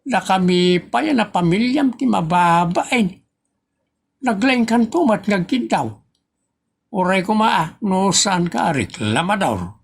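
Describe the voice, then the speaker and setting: A man with a northern Philippine accent acting an exasperated husband and father in a comedy audio drama, scolding deliberately and emphatically. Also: sits high, expressive, vocal fry, breathy edge, full character voice.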